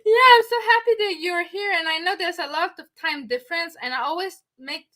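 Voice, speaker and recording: A woman's voice: extremely high pitch, a little fast, bright, forceful tone. A woman from Montreal with a Québécois French accent, French her first language, hosting a live stream and greeting viewers while she searches for words now and then. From Montreal, Canada. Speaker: host.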